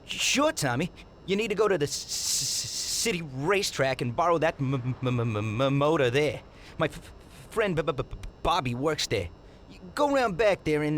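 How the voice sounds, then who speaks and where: A nervous mob mechanic, tripping over nearly every word in a heavy stutter. His voice sits a little high for a man. Faint noise hangs under this game cutscene line. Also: sing-song, normal speed, a bit bright. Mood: stammering. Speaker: mobster. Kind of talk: video game cutscene acting.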